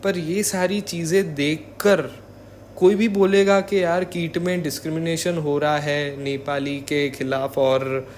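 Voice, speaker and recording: Flat-toned young male vlogger. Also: a little high, measured pace, slight background noise. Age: young adult. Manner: code-switches with English words. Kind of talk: informal spoken commentary.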